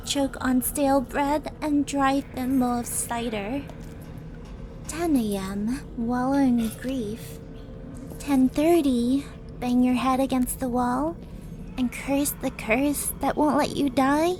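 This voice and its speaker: A woman acts out a flirtatious, cruel demon princess with a high-pitched voice. She takes her time, taunting with theatrical, mock-sweet relish. Clear music plays behind her in the style of an ASMR audio drama. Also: steady tone, slow. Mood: teasing, sarcastic. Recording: music under the voice.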